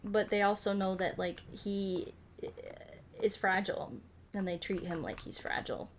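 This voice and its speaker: A woman streaming a game, chatting casually in a rambling, halting way with self-corrections and playful, cutesy phrasing. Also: mid-range voice, fairly even intonation, slight background noise. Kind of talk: casual chat while playing a game. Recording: music behind the voice. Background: music.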